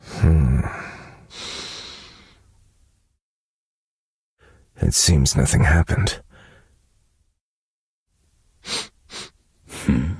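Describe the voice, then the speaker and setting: A brooding detective-novelist in a mystery game speaks in an extremely low, husky voice. He is analytical and measured, murmuring thoughtfully to himself. The sound is dry and close, with a gravelly rasp. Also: no room sound, exaggerated voice. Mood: pondering, puzzled. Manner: measured, contemplative, musing to himself with murmured hums. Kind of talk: scripted game character monologue.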